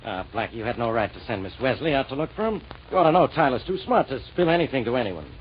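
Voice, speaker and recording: An actor playing a gruff, skeptical, sarcastic police inspector in 1940s radio drama style. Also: male voice, medium pitch, animated, quick pace, natural voice. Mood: gruff, dismissive. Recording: muffled, noisy old radio broadcast.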